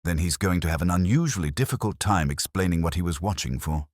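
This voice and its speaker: Deep, courteous police inspector read by a man. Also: no echo, studio quality. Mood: measured, dry. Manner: measured, polite, formal. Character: courteous, quietly incisive male police inspector.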